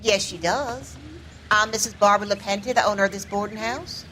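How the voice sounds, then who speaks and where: A woman's voice: medium pitch, moderate pace, sharp tone, slight background noise, close to an everyday voice. A woman playing a prim, formal 1940s boarding house owner in a video game. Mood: prim, courteous. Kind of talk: naturalistic video game acting.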